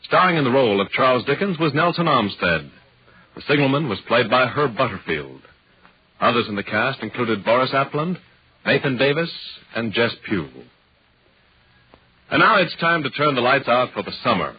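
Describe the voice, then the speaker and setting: A man's voice: mid-range voice, lively intonation, moderate pace, breathy edge, no echo. An American man announcing in a 1940s broadcast style. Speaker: radio announcer. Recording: muffled vintage radio broadcast.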